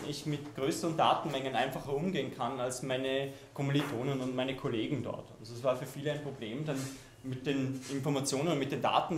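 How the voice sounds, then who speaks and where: A man gives a keynote in a large lecture hall. He talks loosely and conversationally, stopping for ums and restarting phrases. The sound rings around the room, with a faint hiss underneath. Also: mid-range voice. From Austria. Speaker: keynote speaker. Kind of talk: conference keynote talk. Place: lecture hall with projection screen.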